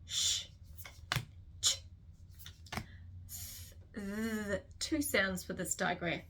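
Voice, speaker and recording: A woman's voice: mid-range voice, lively intonation, extremely slow, pushed and bright, slight background noise. A woman with a New Zealand accent teaching phonics, carefully sounding out each letter sound in a structured tutorial. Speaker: literacy teacher. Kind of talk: slow instructional phonics tutorial.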